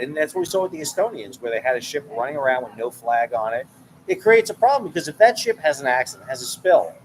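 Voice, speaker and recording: A man's voice: fairly high pitch, a little hiss or hum, rough recording. A man from New York, a military analyst who hosts a channel, talking casually and with opinions in a bar, often repeating words while he thinks. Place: bar, ambient noise.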